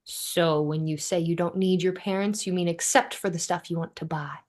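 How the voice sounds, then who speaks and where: A woman's voice: fairly low pitch, steady tone, moderate pace, darkish tone, natural voice. A woman reading the part of an exasperated, blunt young roommate in a sitcom script, with emphatic, sardonic delivery. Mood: pointed. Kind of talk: sitcom script table read.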